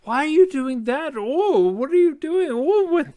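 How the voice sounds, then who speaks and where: A curious, bold little girl, as a male game master puts her on, pitched well up high. The character nags eagerly with one question after another, like an annoying child in a tabletop game. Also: lively intonation, dark tone, no room sound. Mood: eager, pestering. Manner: nagging, rapid-fire questioning.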